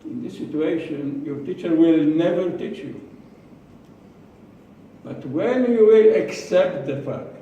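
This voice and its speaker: A man with an Israeli accent giving a prepared speech to a club, slow and deliberate, with pauses and repeated words. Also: on the high side, animated, mellow, muffled recording, slight background noise. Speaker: club speaker. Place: meeting room. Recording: echoey room from far off.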